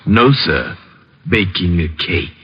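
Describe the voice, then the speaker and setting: Very deep, slow deadpan servant. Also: male voice, full character voice. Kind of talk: 1940s radio acting. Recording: noisy, muffled old radio recording.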